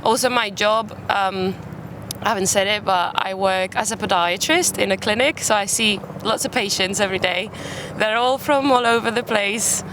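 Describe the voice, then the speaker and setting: A woman's voice: medium pitch, normal speed. A young woman from Spain, a native Spanish speaker with a British accent, chatting casually as an interview guest. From Málaga, Spain. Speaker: podcast guest. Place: outdoors in a city park. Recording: outdoor urban background noise. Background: faint park and traffic ambience.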